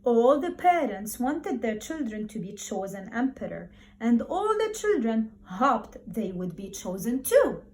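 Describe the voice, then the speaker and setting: A woman primary school teacher speaking to young children in an encouraging, expressive way, repeating words for emphasis as she talks and reads aloud. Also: fairly high pitch, measured pace, few pauses, a little hiss or hum.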